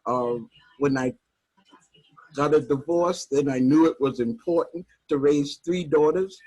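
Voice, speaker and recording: A woman in her eighties from Harlem, New York, reflecting slowly on her life during a video call workshop, with soft laughs. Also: low voice, husky, low-quality recording. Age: 80s. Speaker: workshop participant.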